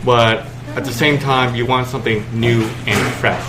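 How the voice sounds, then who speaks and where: A man co-hosting a casual chat show, talking quickly and musing with hedges. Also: medium pitch, fairly even intonation, over loud music, rough recording. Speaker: podcast co-host.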